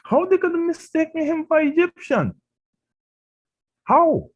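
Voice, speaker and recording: A man's voice: very high voice, lively intonation, slow pace. A man with a Trinidadian accent, preaching a radio sermon and pressing his points with emphatic rhetorical questions. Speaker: pastor.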